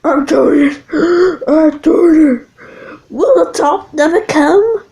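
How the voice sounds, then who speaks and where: A proud red male steam engine from a storybook, high and emotional, swinging widely in pitch, as a woman puts him on. He sounds chastened but determined, and his lines come slowly. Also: expressive, creaky, exaggerated voice. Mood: straining, cheering.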